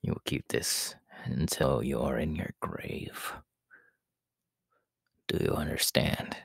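A man voicing a menacing, haughty demon king in an ASMR audio roleplay, slow and imperious, in a gravelly, breathy half-whisper. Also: very deep voice, no echo, studio quality, heavily acted. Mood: commanding. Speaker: voice actor.

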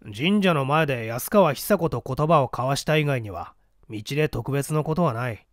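A man's voice: mid-range voice, lively intonation, moderate pace, dry recording, studio quality, lightly acted. A man voicing a blunt, hot-headed newspaper reporter giving his account curtly in a mystery reading. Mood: recounting. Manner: curt, defensive. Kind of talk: dramatic storybook reading of a mystery.

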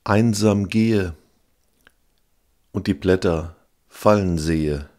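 A man's voice: low-pitched, warm tone. A man reciting a poem as a reader. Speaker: poetry reader. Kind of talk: slow poetry recitation.

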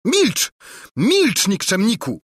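A man's voice: big pitch swings, unhurried, fairly bright tone, dry recording, studio quality, full character voice. A man voicing a haughty, spoiled young princess who shrieks in anger, reading a storybook aloud. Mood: shrieking. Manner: shrill, shrieking when angry.

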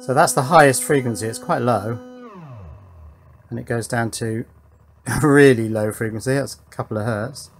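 A man with a mid-pitched voice presents hands-on electronics work in a casual way. He talks as if thinking aloud while he works indoors at a bench. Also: moderate pace, pauses often, soft background music. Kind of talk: casual hands-on build commentary. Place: indoors at a workbench.

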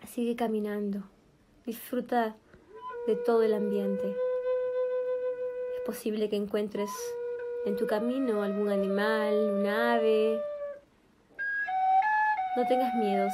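A woman leading a guided meditation in a soothing, gentle manner, speaking in short, spaced phrases. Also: mid-range voice. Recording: background music with flute. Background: meditation music with flute.

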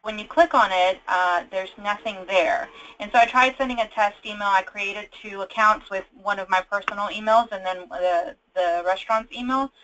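A woman on a conference call casually explaining a software problem as a trainee, informal and a little hesitant. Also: mid-range voice, moderate pace, hardly any pauses, highs cut off, a little hiss or hum. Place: conference call with screen share.

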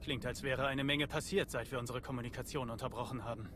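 A man's voice: mid-range voice, fairly even intonation, fast talker, pushed and bright, slight background noise, close to an everyday voice. A man playing a skeptical field doctor in a German game dub, blunt and wry. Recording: sci-fi game ambience behind voice.

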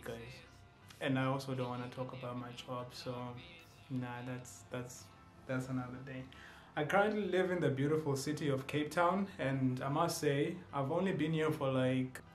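A man's voice: medium pitch, roomy sound. Joking young South African man vlogging. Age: young adult. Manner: playful, joking asides, switches into other languages. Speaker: YouTuber. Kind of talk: casual vlog introduction. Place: small indoor room with shelves. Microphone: camera at close range.

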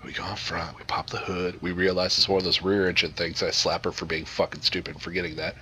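A man's voice: sits low, level tone, fast talker, bright, forceful tone, slight background noise. A man improvising a crude comic story to a group, swearing freely and rambling in a mock-serious way. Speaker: storyteller, host.

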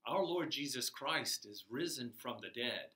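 An older man giving a chapel sermon to children in a classroom, speaking slowly and emphatically, his voice rising on key words. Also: medium pitch, lively intonation, pushed and bright. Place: classroom with a whiteboard, indoors. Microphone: camera across the room. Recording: echoey classroom from far off.

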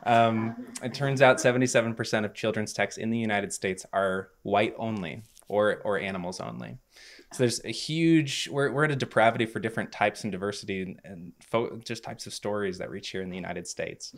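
A man in his thirties speaks earnestly into a handheld mic before a small audience. His voice sits fairly low, and he picks his words with measured pauses and ums. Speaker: nonprofit speaker. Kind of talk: presentation to an audience. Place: living room with stone fireplace, indoors.

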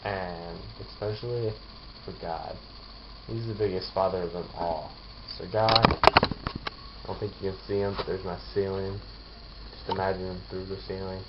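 A man's voice: deep voice, steady tone, a little slow. A tired, yawning young man talking drowsily while lying in a small bedroom, then rapping verses into his webcam. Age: young adult. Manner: drowsy, mumbling, trailing off. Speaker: vlogger. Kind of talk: casual vlog with rapped verses. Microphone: webcam held close overhead. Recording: dull lo-fi webcam audio with noise.

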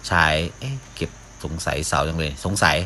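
A man's voice: a bass voice, sing-song, moderate pace, bright, forceful tone. A man giving casual live commentary as a gaming video host, often talking to himself as he plays. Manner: mouths sound effects, talks to himself while playing.

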